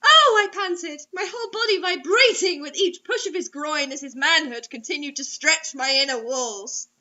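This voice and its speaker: A woman's voice: sits high, moderate pace, sharp tone. A woman reading a story dramatically as a host, breaking in with sarcastic, mocking asides. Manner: theatrical, sarcastic. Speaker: host reading bad online fiction aloud. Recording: dull lo-fi recording.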